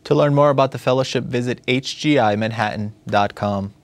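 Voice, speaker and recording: A man's voice: medium pitch, a little fast. A man anchoring a newscast, reading from a script. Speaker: news anchor.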